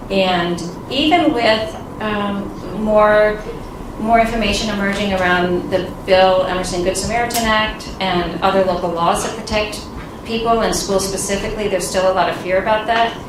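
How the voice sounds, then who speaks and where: A woman, a nonprofit founder, giving an earnest, informal talk on a conference panel. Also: mid-range voice. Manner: earnest, informal, with fillers and light laughs. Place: conference room. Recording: echoey room, distant, noisy.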